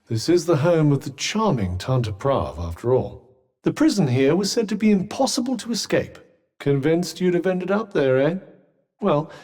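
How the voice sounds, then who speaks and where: A man's voice: mid-range voice, a little mellow. A male actor voicing a haughty, erudite magical cuff in a video game, with a British accent and a dry, sarcastic, pompous manner. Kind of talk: video game companion dialogue.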